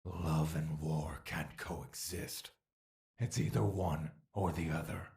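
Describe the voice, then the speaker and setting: Audio drama role: an age-old malevolent spirit, cavernously deep and unhurried, taunting and tempting with theatrical menace. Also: male voice, creaky, a little mellow, exaggerated voice. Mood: brooding contempt. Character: ancient male spirit trapped within a mortal, scornful, bloodthirsty, tempting.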